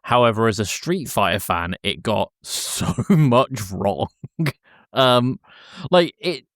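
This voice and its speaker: A man's voice: on the low side, expressive, slow, fairly bright tone, no room sound, studio quality. A man in his thirties co-hosting a podcast, giving casual, opinionated talk about film. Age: 30s. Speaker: podcast co-host.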